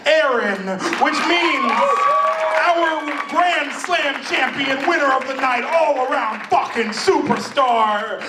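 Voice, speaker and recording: A man's voice: very high voice, takes it slowly. A man hosting a live poetry slam in an emphatic, theatrical way, given to sweeping exaggeration. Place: live indoor event with audience. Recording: echoey venue, far mic, crowd noise.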